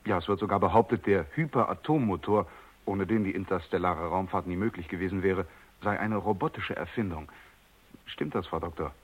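An inquisitive young man of the press in a radio play. His voice is deep and husky, his manner courteous but persistent. The sound is muffled, like an old broadcast. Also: fairly quick. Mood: eager, courteous. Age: young adult. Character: eager, polite, inquisitive young male reporter. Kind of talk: radio drama acting and narration. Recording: dull vintage radio recording.

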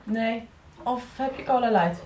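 A woman's voice: fairly high pitch, measured pace, background noise, natural voice. A young woman playing a defiant, guarded runaway girl in a radio soap, curt and snappy. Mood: guarded, cool. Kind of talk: 1990s Dutch radio soap acting.

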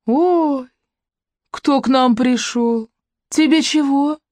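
A narrator doing a coarse, spiteful villainess. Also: female voice, sits high, slow, dry recording, voice pushed up. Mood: mocking. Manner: mocking, shouting in rage. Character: coarse spiteful woman, gloating and vicious.

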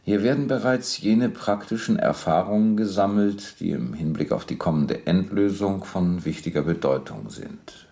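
A man's voice: sits low, normal speed, vocal fry, few pauses, warm tone. An actor playing a methodical male police captain in a radio-play interrogation, formal, precise and insistent.

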